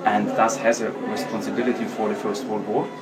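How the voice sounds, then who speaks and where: A man's voice: on the low side, level tone, fairly quick, over loud music. Young man, factual. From Austria. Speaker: event participant. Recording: echoey, distant, crowd noise.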